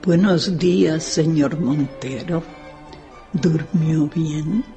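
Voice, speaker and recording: An actress playing a frail, tired, courteous old widow in a radio drama, with a formal, measured manner. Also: female voice, low voice, warm tone, dull audio, soft background music, exaggerated voice. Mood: formal, aloof.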